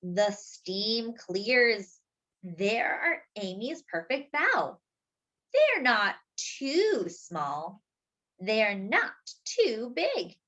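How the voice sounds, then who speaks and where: A woman reading a picture book aloud to young children as a storytime host, expressively, with drawn-out words and little asides to her listeners. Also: a little high, very animated, pushed and bright, highs cut off.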